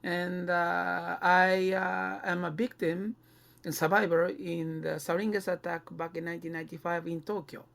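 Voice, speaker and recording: A male podcast host with a Japanese accent talks in a conversational way. His English is non-native and comes out haltingly, phrase by phrase. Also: high voice, little pitch movement, gravelly, a bit bright.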